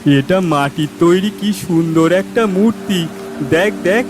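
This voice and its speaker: Man playing a higher-voiced, curious village boy. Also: unhurried. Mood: easygoing. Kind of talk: cartoon voice acting. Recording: clear music behind the voice.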